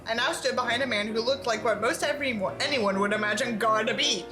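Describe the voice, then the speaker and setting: A woman's voice: a little high, a little fast, music in the background, low-quality recording. A woman reading a novel aloud in a theatrical, sarcastic way, as a reviewer mocking it. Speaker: YouTube book reviewer.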